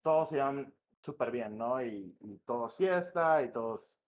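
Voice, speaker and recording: Relaxed northern Mexican man, slangy. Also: mid-range voice, unhurried. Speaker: game director, guest. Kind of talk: casual podcast interview. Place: home in Morelia.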